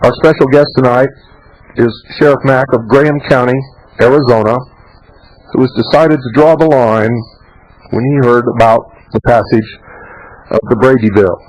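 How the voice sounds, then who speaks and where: A man hosting a radio talk show, speaking in a slow, dramatic, emphatic way. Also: medium pitch, darkish tone, low-quality recording. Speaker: radio host.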